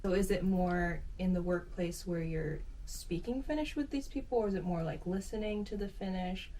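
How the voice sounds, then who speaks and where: A woman's voice: lowish voice, normal speed, warm tone. Woman host, upbeat and curious, distant mic, faint room hiss. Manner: upbeat, guiding questions. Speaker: YouTube host. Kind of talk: casual interview.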